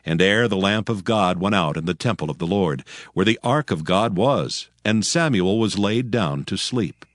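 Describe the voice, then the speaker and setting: Guy narrating bible story, lowish voice, lively intonation, dry studio sound. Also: moderate pace, hardly any pauses, no room sound. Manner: expressive, formal storytelling. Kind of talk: scripted scripture narration.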